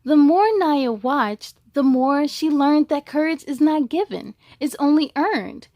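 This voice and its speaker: A woman's voice: high voice, measured pace, warm tone, no echo, dull audio. A woman hosting a children's read-aloud, enthusiastic and speaking straight to young listeners, with storybook reading and chatty asides.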